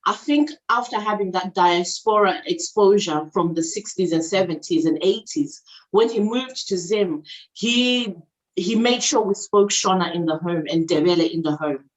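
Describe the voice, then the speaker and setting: A woman's voice: on the low side, moderate pace, low-quality recording. A young adult woman from Zimbabwe with a Zimbabwean accent, chatting candidly as an interview guest and slipping into Shona. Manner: candid, code-switches into Shona. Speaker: guest, children's language channel creator. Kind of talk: casual interview chat. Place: England.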